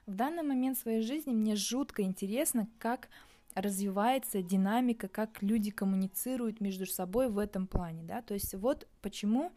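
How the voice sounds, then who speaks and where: Young woman, mellow voice, casual vlog musing, halting and searching for words. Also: medium pitch. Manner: thinking aloud, hesitant with many pauses. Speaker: vlogger. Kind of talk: casual reflective monologue.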